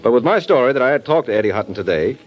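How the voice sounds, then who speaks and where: An actor playing a wry, teasing private detective who lays out a case in an old radio drama. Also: male voice, medium pitch, sing-song, very fast, natural voice. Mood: explaining. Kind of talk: 1950s radio acting. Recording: dull old radio broadcast with music.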